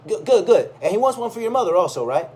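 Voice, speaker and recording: A man voicing a chatty, nosy older male shopkeeper in a told story, brisk and eager. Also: high-pitched, big pitch swings, darkish tone, voice pushed up, heavily acted. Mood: brisk, friendly. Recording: clearly audible background noise.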